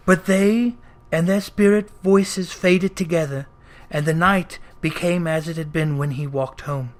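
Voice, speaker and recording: A male audiobook reader with a fairly high voice. He narrates a classic story in a measured, dramatic way, reading from a script.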